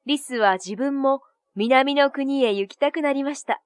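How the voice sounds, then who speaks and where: A woman's voice: high voice, a little slow, no room sound, clean studio sound. A woman reading a scripted children's story as narrator, with music playing behind her.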